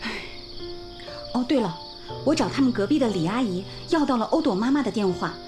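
High, lively, caring female teacher played by an actress. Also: fairly quick, lightly acted. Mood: concerned. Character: caring, concerned young female village teacher. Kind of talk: radio drama acting. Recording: music behind the voice.

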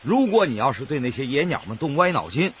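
A man's voice: mid-range voice, very animated, fast, slight background noise, close to an everyday voice. An actor playing a kindly, principled boatman in a radio drama, with a folksy, earnest Northeastern Chinese accent. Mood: firm, earnest. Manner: folksy, earnest, plain-spoken. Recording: dull radio recording with loud music.

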